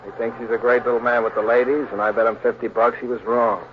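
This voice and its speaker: An actor playing a smooth, bossy American gambler and swindler with slangy speech, in 1940s radio crime drama style. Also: male voice, medium pitch, flat delivery, fast, lightly acted. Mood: smooth, persuasive. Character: slick menacing male big-time gambler and swindler. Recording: tinny noisy old radio broadcast.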